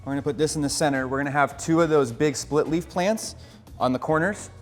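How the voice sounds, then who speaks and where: A man's voice: a little high, brisk, big-room sound, music in the background. Upbeat American man coaching an aquascape build. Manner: upbeat, coaching, explains step by step. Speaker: aquarium hobbyist host. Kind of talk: casual instructional vlog.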